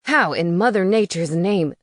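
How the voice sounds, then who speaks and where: A woman voicing an arrogant, menacing young male wizard who talks loudly and with contempt. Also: on the low side, lively intonation, measured pace, no room sound. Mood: sneering. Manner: loud, sneering and forceful.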